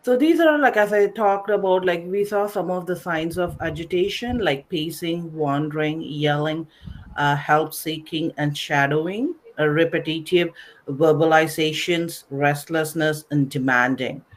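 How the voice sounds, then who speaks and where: A woman presenting a slide-based nursing lecture, explaining in short phrases with pauses in a didactic way. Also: low-pitched. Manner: didactic, recapping, pausing between short phrases. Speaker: webinar presenter, nursing educator.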